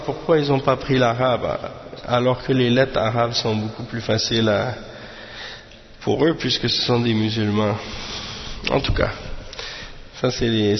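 A man's voice: medium pitch, lo-fi sound, slight background noise. Hesitant man teaching in an echoey room. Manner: hesitant, with many restarts and Arabic fillers. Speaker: religious teacher. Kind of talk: informal religious lesson.

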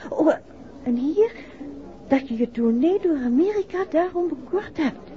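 An actress in a 1960s Dutch radio drama, playing a soft-spoken, expressive woman in a detective serial. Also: high voice, measured pace. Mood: curious, wry. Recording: dull, noisy 1960s radio recording.

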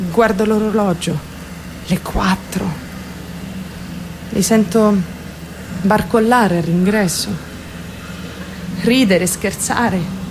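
An actress playing a naive, lovestruck, wounded teenage girl in an intimate radio drama monologue, confiding directly in her listeners with dramatic pauses. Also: medium pitch, background noise, close to an everyday voice. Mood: hurt, subdued. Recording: music under the voice. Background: music.

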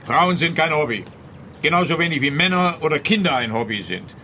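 An actor playing an officious, exasperated police officer in a radio comedy, with a pompous, lecturing manner. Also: male voice, fairly high pitch, animated, brisk, sharp tone, a little hiss or hum.

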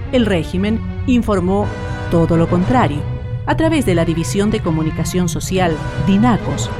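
Low-voiced Chilean woman narrator over music. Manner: formal, measured reading. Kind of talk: scripted documentary radio narration. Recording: music bed behind the voice.

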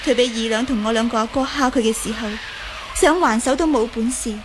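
A woman's voice: on the high side, fairly quick, lightly acted. An actress playing a bitter, defiant young martial arts woman in a Cantonese radio drama. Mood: proud, bitter. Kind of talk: Cantonese audio drama acting. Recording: faint background noise.

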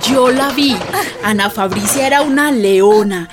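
Actress as a Colombian witness, highish voice. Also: female voice, a little slow, close to an everyday voice. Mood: vivid recollection. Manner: colloquial, vivid storytelling. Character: female neighbour recalling events as a plainspoken witness. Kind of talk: testimonial narration in radio drama. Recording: music behind the voice.

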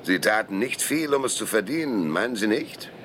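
A man's voice: lowish voice, expressive, normal speed, a bit bright, a little hiss or hum. A man playing a sceptical, menacing police interrogator in a radio drama, probing and sarcastic. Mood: sarcastic, threatening.

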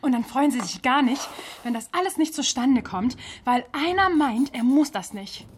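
An actress playing a chatty, cheeky and loyal office assistant in a naturalistic radio drama, speaking colloquially and groping for words. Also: female voice, high-pitched, animated, normal speed, slight background noise. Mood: indignant, scolding.

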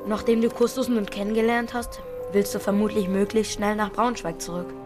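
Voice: mid-range voice, little pitch movement, brisk, lightly acted. An actor in a children's radio play voicing a tough street kid, matter-of-fact, wry and teasing. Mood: wary. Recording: studio radio play with faint music.

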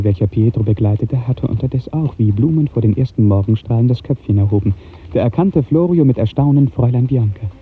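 A man narrating a literary 1950s radio drama in lyrical, measured, formal diction. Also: mid-range voice. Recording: tinny 1950s radio recording, music behind.

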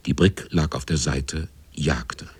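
An actor playing a grave seafarer who narrates a radio drama in measured, terse literary phrases with a husky voice. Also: male voice, fairly even intonation, slight background noise. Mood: grave, dramatic. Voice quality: very deep, husky. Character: grave seafarer recounting a hard voyage.

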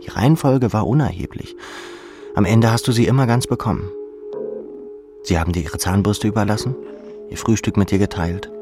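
Male audiobook narrator, gravelly and deep, scripted literary drama with music underneath. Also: fairly quick, long pauses.

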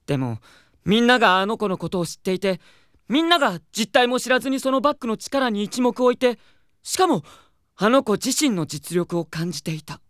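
A man's voice: high voice, animated, brisk, fairly bright tone, no echo, clean studio sound. A man playing a proud, hot-headed young idol singer in an audio drama, earnest and theatrical, also narrating his own story. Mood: reflective narration. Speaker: lead character and narrator. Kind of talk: anime audio drama acting with first-person narration.

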